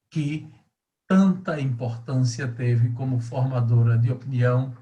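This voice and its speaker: A man introducing a guest as host, speaking formally in deliberate, oratorical phrases with pauses. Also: mid-range voice, warm tone. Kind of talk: measured, formal introduction to an oral-history interview.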